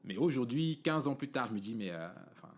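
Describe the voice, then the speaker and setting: A man's voice: mid-range voice, very animated, fairly quick. A man in his forties from Cameroon with a Cameroonian French accent, hosting a podcast in a casual, rambling monologue full of fillers and "tu vois" asides. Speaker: podcast host.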